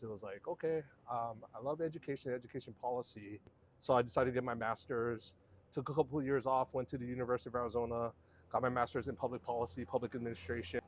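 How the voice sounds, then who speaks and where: A man, a podcast guest telling his life story in a loose, rambling way with plenty of fillers. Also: mid-range voice. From Hawaii. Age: 40s. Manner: relaxed, rambling storytelling with many fillers and restarts. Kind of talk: casual conversational interview.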